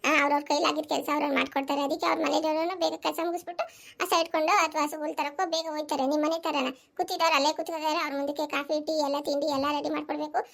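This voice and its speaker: A woman voicing an overworked, sharp-tongued village housewife and mother in a family cartoon, scolding and complaining with a rural southern Karnataka accent. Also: sits high, level tone, racing, gravelly. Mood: indignant, sarcastic. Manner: scolding, complaining, rhetorical questions.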